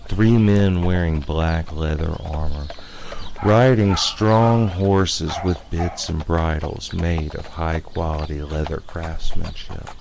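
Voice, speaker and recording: A man narrating a scripted spooky tale in a measured, dramatic storyteller's manner. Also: very deep voice, steady tone, creaky, background noise. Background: music.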